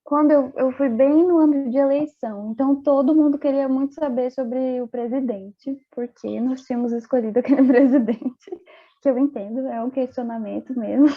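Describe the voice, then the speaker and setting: A young Brazilian woman chatting as an interview guest, laughing often and talking with many fillers. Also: high voice. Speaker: interview guest, former exchange student. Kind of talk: casual interview chat. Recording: tinny phone-like audio.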